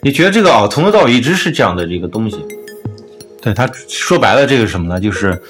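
A man's voice: mid-range voice, very animated, fast. A man hosting a casual music podcast, talking through his opinions in an informal discussion.

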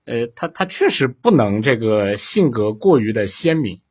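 Husky mid-pitched man, halting delivery. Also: very animated, a little mellow. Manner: halting, repeats phrases to explain. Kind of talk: conversational panel discussion.

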